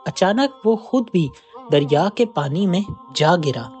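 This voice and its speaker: A storyteller reading a scripted moral folk tale in an expressive way. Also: mid-range voice, unhurried, soft background music.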